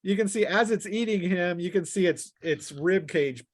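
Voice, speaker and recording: Higher-voiced jovial man running a tabletop game. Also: moderate pace. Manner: jovial, laughs often. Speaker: game master. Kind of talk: casual tabletop game narration and banter.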